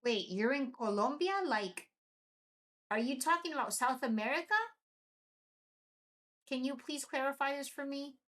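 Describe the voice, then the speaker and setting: A young woman from Venezuela hosting a live stream, chatting excitedly and answering viewers' comments. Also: high voice, measured pace, pauses often. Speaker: livestream host.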